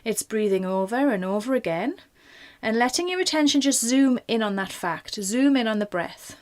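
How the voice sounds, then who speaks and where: A woman leading a guided meditation in a gentle, informal, reassuring manner. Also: on the high side, animated, moderate pace. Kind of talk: guided body scan meditation.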